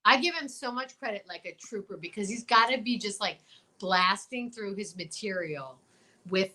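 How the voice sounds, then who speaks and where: A woman's voice: mid-range voice, animated, normal speed, bright, forceful tone. A woman talk show host chatting casually, emphatic and opinionated, often posing questions. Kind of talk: casual chat.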